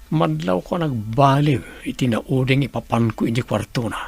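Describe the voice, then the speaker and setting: Gravelly, gossipy Ilocano snoop. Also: male voice, medium pitch, moderate pace, soft background music. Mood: brooding. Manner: musing aloud to himself. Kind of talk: Ilocano radio drama acting, muttered monologue.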